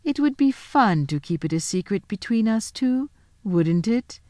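A woman voicing a cold, scheming queen who speaks slowly and coaxingly. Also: fairly high pitch, animated, a little mellow, no echo, studio quality, voice pushed up. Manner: slow, coaxing and commanding.

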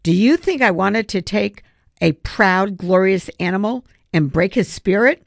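A woman's voice: mid-range voice, expressive, unhurried, creaky, no room sound, studio quality, voice pushed up. A woman voicing an indignant schoolgirl animal lover who scolds emphatically in an audiobook story. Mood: angry, hurt.

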